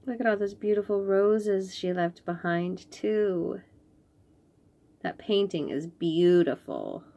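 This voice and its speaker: Woman, children's storyteller, unhurried pace, animated intonation, gentle wondering manner, picture-book read-aloud, asides to young listeners. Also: medium pitch, vocal fry, muffled recording.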